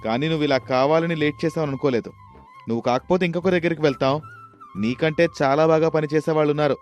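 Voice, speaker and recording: A man's voice: on the high side, quick pace, a little mellow, faint music behind, natural voice. A man voicing an indignant, scolding male customer in a story.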